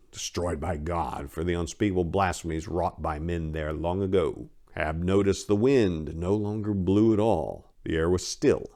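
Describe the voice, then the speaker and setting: A man's voice: low voice, a little mellow. A man reading his own story aloud as dramatic narration, in a grave, ominous, emphatic manner.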